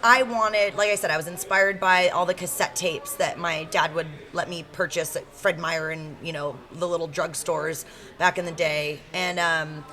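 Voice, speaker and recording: A woman in her thirties from Seattle, a country singer-songwriter, chatting frankly in an interview with a deeper voice and lots of ums and you-knows. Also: steady tone, normal speed, bright, forceful tone, faint music behind. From Seattle, USA. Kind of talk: casual interview storytelling.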